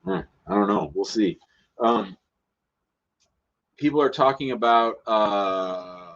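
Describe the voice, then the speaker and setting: A man hosting a live immigration call-in show, talking bluntly and casually while he thinks aloud. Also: on the low side. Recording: dull lo-fi stream audio.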